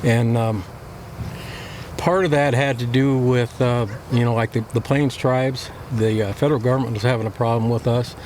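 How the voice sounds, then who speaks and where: A man giving reflective interview answers in a thoughtful, hesitant way with many pauses and fillers. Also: mid-range voice, fairly even intonation, a little mellow, a little hiss or hum.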